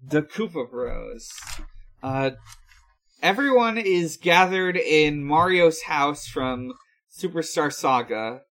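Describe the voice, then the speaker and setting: A man co-hosting a casual recap discussion, explaining things in a halting, hesitant way. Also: fairly high pitch, expressive, a bit bright. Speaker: podcast co-host recapping episodes. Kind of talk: casual episode recap with co-hosts.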